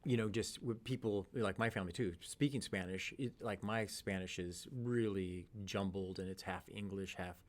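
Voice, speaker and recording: A man's voice: low-pitched, normal speed, few pauses. A middle-aged man hosting a podcast interview in an easygoing, chatty way, often restarting his sentences and laughing. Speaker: podcast host. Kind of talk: casual interview chat.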